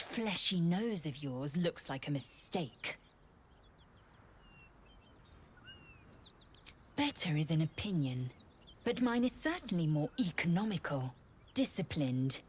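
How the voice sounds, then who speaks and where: A woman's voice: low voice, big pitch swings, a little slow, a little hiss or hum. A woman playing a severe young female alien warrior in a video game, with an imperious, disdainful, formal delivery. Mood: cool disdain. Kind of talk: scripted video game character acting.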